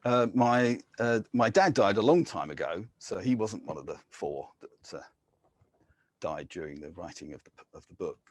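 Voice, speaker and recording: Husky low-voiced man reading poetry. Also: animated, dry recording. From England. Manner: measured, with soft hesitant fillers between poems. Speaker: poet.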